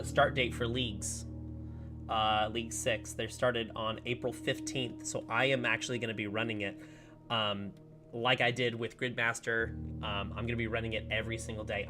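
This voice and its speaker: A man streaming a game in casual, rambling chat, slightly hoarse as he recovers from a lost voice. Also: medium pitch. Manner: chatty, upbeat, rambling with many fillers. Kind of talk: casual livestream chat while playing.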